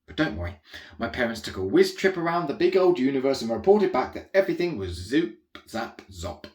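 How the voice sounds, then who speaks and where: A man's voice: medium pitch, sing-song, brisk, darkish tone, natural voice. Man reading as chatty young alien royal, roomy echo. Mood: breezy, enthusiastic. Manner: chatty, digressive, self-correcting asides. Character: rambling, easily distracted young alien royal, chirpily naive. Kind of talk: first-person comic children's audiobook narration.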